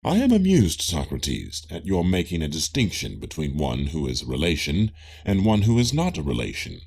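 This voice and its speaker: Man playing a deep, gravelly, pompous ancient Greek. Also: a little mellow, heavily acted. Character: Euthyphro, self-assured, pompous Greek man of religion. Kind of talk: dramatic audiobook reading of a philosophical dialogue.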